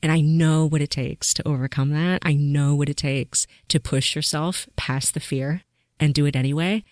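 A woman's voice: deep voice, steady tone, normal speed, darkish tone, no echo, studio quality. A woman hosting a casual podcast interview, encouraging and enthusiastic as she asks about her guest's experiences. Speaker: podcast host.

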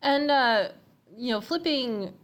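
A woman's voice: sits high, expressive, takes it slowly. A woman interviewer who affirms what she hears and asks open follow-up questions.

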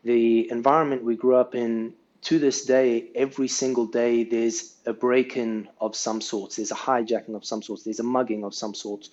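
South African man, podcast guest, mid-pitched voice, earnest casual storytelling, muffled lo-fi audio. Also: level tone, moderate pace, hardly any pauses, a little mellow. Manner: earnest, tags sentences with "right?". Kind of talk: casual life-story interview.

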